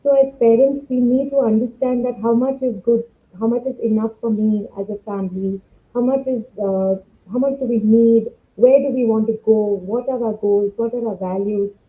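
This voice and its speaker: A woman's voice: on the high side, fairly even intonation, normal speed, highs cut off. A woman speaking as an educator in a podcast discussion, reflective and earnest, over thin call audio. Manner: passionate, reflective. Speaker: educator, panelist.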